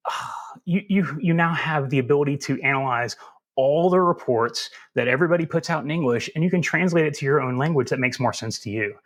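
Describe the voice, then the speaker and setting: A man with a Southern US accent, answering interview questions in a relaxed, folksy and self-deprecating way. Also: on the high side. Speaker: security instructor as guest.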